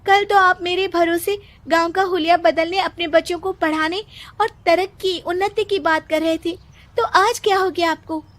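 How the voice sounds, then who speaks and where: A woman's voice: very high-pitched, steady tone, moderate pace, pauses often, a bit bright, dry recording, pitched above the performer's own voice. A woman voicing a distraught, plaintive village woman in a cartoon moral story. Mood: pleading. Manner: plaintive, pleading. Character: distraught village mother and her wronged young daughter.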